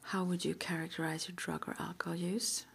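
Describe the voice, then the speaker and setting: A woman whispering gently and hesitantly in an ASMR roleplay, as an interviewer asking intake questions in a breathy voice. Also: lowish voice, level tone, brisk. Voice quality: breathy whisper with some creak. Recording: faint background noise.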